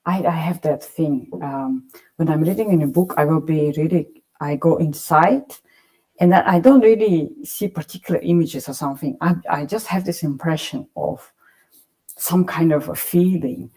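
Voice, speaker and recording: Woman, low mellow voice, halting and thoughtful, panel speaker. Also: poor audio. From Russia. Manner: thoughtful, searching for words, frequent restarts. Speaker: panelist, poet. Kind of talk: panel discussion.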